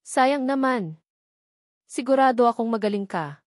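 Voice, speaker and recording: A woman's voice: on the high side, lively intonation, measured pace, no room sound, clean studio sound, voice pushed up. A woman storyteller voicing an earnest, apologetic rich young man in a romance story, pleading and sincere. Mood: interested, admiring.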